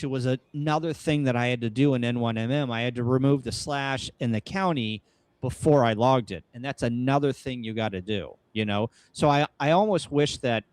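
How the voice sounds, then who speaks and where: A man's voice: mid-range voice, a little mellow, no echo. Dry-sounding male ham radio host. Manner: thinks aloud with many restarts. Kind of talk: casual technical chat.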